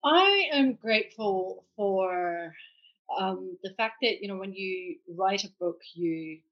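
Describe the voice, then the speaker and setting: A woman's voice: medium pitch, animated, takes it slowly, lo-fi sound. A Scottish woman in her forties, an author telling her story candidly and reflectively in a podcast interview. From Scotland. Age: 40s. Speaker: author guest.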